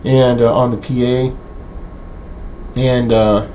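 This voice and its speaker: A man giving an unscripted technical demonstration in a halting, hesitant way, thinking aloud as he explains. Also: medium pitch, little pitch movement. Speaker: presenter of an electronics how-to video. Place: indoor electronics workbench. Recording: dull lo-fi audio with clear background noise.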